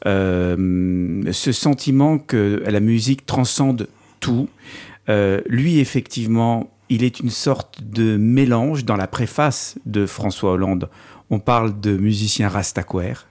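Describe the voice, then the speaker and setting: A man with a fairly low voice hosting an interview. He talks in a conversational way and leads his guest with questions. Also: slow, a little mellow. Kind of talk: radio interview.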